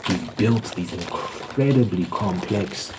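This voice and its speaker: Male ASMR host, very low voice, halting explanatory delivery with repeated phrases, noticeable background noise. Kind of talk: ASMR ramble, explanatory monologue.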